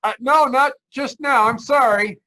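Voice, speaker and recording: A man's voice: very high voice, unhurried, bright, forceful tone, full character voice. A man playing an uneasy motorist who tells his eerie road story in old-time radio mystery style, with a hesitant, dramatic delivery. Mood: flustered. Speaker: actor, lead narrator. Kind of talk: 1940s radio mystery acting. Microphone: remote video call.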